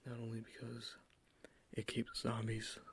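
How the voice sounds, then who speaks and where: A man in an ASMR roleplay, giving a soft-spoken, enthusiastic sales pitch as a cologne salesman close to the microphone. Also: monotone, unhurried, a little hiss or hum.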